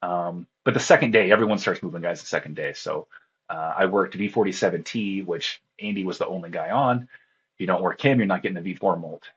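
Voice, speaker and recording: A man's voice: deep voice, fairly quick, slightly rough, lo-fi sound. A man being interviewed, talking casually in a tired, rambling way and laughing often. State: groggy from lack of sleep. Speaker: interview guest, contest radio operator.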